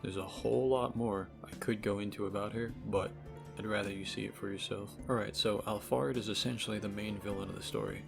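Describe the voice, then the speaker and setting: A man's voice: lowish voice, steady tone, fairly quick, vocal fry, music in the background. A man giving casual, opinionated video essay commentary as a game reviewer, speaking informally with sarcasm and profanity. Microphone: cheap microphone.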